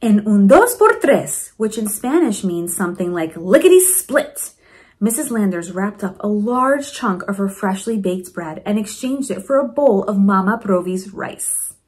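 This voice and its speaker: A woman reading a storybook aloud to children in an expressive, encouraging way, with teaching asides. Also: medium pitch, a little slow. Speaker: principal reading a picture book to children.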